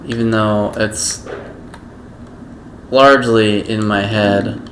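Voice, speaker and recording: A man giving casual gameplay commentary, slow and halting, with a self-described monotone voice. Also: lowish voice, a little hiss or hum. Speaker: gaming video creator.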